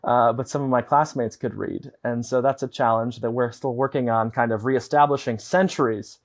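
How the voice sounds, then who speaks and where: A young man from the United States, a guest on a video call, explaining things at length in an earnest, measured way through wired earbuds. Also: mid-range voice, fairly even intonation. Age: young adult. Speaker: guest, advocate. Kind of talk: explanatory interview talk. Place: indoor home room.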